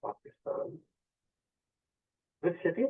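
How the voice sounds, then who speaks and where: A male radio astrology host taking a caller, explaining the chart and switching between English and Hindi phrases. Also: slow. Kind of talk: call-in radio consultation. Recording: tinny phone-line sound.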